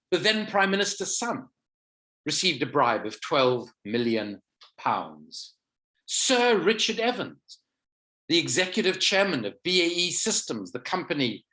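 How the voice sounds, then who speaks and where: A man's voice: a little high, a bit bright. Man speaking with a sore throat. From South Africa. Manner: measured, emphatic, slows for weight. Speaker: rally speaker. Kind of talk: campaign rally speech.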